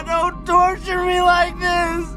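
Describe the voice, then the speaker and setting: A man playing a tormented doctor in a radio drama, his voice strained, halting and pleading, crying out in anguish. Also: very high-pitched, heavily acted. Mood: agonized, pleading. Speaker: actor. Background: music.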